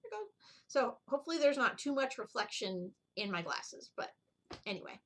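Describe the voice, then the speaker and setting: A woman's voice: on the high side, big pitch swings, normal speed, a bit bright. A middle-aged woman chatting casually as the host of a needlework video, rambling and drifting off on tangents. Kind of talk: casual chat, show-and-tell vlog.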